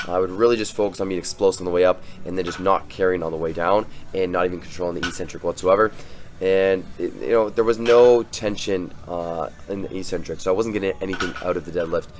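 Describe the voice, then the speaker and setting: A young man with a cold, sounding hoarse, narrating his own workout footage in a relaxed, rambling way with many fillers and restarts. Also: sits low. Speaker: fitness vlogger. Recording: faint music behind the voice.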